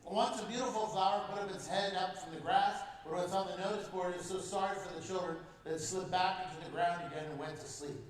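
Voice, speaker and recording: A male guest speaker reads a storybook aloud to a congregation, expressive and unhurried. His voice is on the high side. It is heard from a distance across a large, echoing church. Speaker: guest speaker at a parish mission. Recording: echoey church heard from far off.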